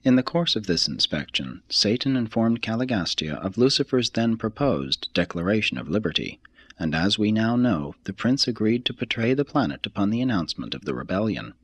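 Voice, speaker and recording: A man reads a religious text aloud as scripted narration, in a husky voice with a measured, formal and deliberate delivery. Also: fairly low pitch, very animated, a bit bright, no room sound. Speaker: audiobook reader.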